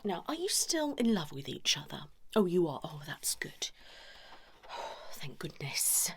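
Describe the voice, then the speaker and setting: A woman doing an ASMR therapist roleplay, shifting between a breathy whisper and a soft murmur, chatty and confiding. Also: fairly low pitch, very animated, a little slow. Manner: chatty, confiding, addressing listeners directly with frequent tag questions. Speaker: ASMR performer role-playing a couples therapist.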